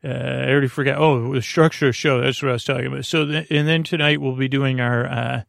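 A man hosting a bedtime podcast in a creaky, gravelly voice, meandering and stammering through a soothing monologue meant to lull listeners to sleep. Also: mid-range voice, fairly even intonation, fast talker, a bit bright, no echo, clean studio sound. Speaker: podcast host.

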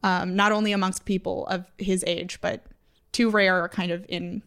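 Woman podcast co-host, smooth and resonant voice, reflective with dry humor. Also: lowish voice, flat delivery, moderate pace, fairly bright tone. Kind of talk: casual podcast chat.

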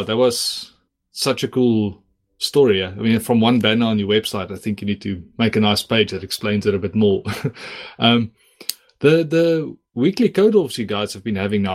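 A man from South Africa, a native Afrikaans speaker, hosting a casual podcast in a chatty, rambling way with many likes. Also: mid-range voice. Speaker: podcast host.

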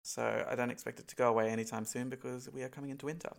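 A young Australian man talks loosely to camera, his nose blocked up and stuffy. His voice carries a gravelly fry at the ends of phrases, and he rambles through ums and likes as he goes. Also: mid-range voice, fairly even intonation, very fast. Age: young adult. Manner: rambling, many ums and likes, laughs between points. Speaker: vlogger. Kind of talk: casual to-camera chat.

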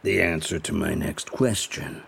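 An actor playing a gruff, world-weary private detective with a terse, curt way of talking in a noir audio drama. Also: male voice, deep voice, measured pace, a bit bright, a little hiss or hum, heavily acted. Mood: businesslike.

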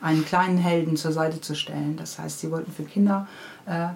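A middle-aged woman with a fairly low voice talks casually as an interviewed author. She explains and narrates in a friendly, chatty way, going into detail. There is some noise behind her. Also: steady tone, fairly quick, darkish tone. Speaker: interviewed children's book author. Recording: clearly audible background noise.

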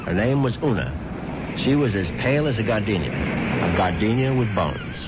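A man's voice: fairly low pitch, lively intonation, moderate pace, very noisy, lightly acted. An actor playing a wry, self-satisfied idle husband who narrates his own story in a dry, urbane way in a 1970s radio drama. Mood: wry narrating. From born in London, moved to the United States when young (character). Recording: dull lo-fi 1970s radio broadcast, loud music.

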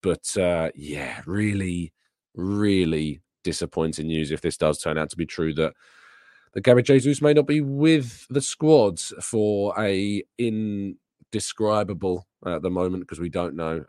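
A man with a raspy, hoarse voice from a sore throat, hosting a live show and casually answering chat questions. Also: low-pitched, measured pace, a little mellow, no room sound, studio quality. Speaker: podcast host. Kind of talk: live transfer news and chat Q&A.

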